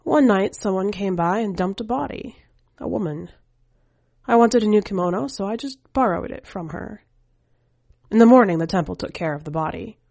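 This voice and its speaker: A woman's voice: mid-range voice, lively intonation, moderate pace, creaky, darkish tone, no echo. A woman voicing a jaded, prickly female skeleton spirit in a short story, brusque and dismissive. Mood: brusque, casual. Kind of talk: audiobook-style short story character voice.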